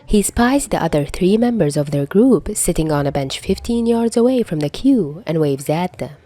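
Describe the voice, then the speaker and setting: A woman's voice: fairly low pitch, expressive, normal speed, warm tone. A woman narrating a scripted story in the manner of an audiobook reader. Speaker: podfic narrator.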